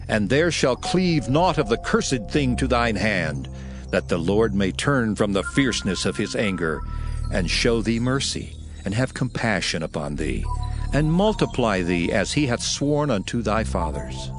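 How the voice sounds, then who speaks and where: A man reads scripture in a solemn, declamatory style, as in a dramatized audio Bible. His voice sits fairly low and moves widely up and down in pitch. Music plays clearly behind him. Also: expressive, measured pace. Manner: solemn, declamatory, archaic diction. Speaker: scripture reader.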